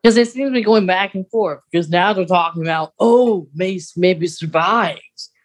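A podcast co-host with a medium-pitched voice chats in an informal way. The delivery is conversational and speculative, as if thinking aloud about lore. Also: moderate pace. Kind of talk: casual chat about Star Wars lore.

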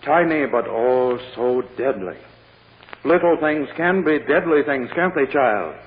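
A man's voice: medium pitch, animated, measured pace, natural voice. Man playing theatrical prosecutor, tinny old radio. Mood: sly, dramatic. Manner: theatrical, pointed, coaxing. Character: sly, insistent male prosecutor questioning a child. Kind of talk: 1940s radio drama acting.

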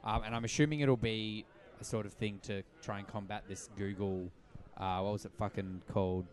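A man co-hosting a podcast, chatting casually through news in loose, halting phrases with some swearing. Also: on the low side, fairly even intonation. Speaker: podcast co-host.

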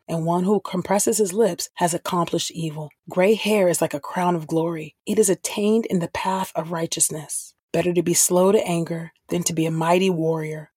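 A woman hosting a podcast, reading scripture aloud in a measured style. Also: on the low side, darkish tone, slightly rough, no room sound, studio quality. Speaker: podcast host and scripture reader.

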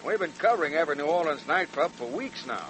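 An actor playing a loyal, deferential police lieutenant in an earnest 1940s radio drama style. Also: male voice, on the high side, expressive, quick pace, fairly bright tone, rough recording. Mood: weary, earnest. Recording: vintage 1940s radio, music behind.

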